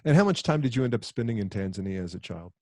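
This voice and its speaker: A man with a Southern US accent asks interview questions in a lowish voice. His manner is plain and a bit self-deprecating. The sound is dry and close. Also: very animated, quick pace, darkish tone, no echo. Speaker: podcast host.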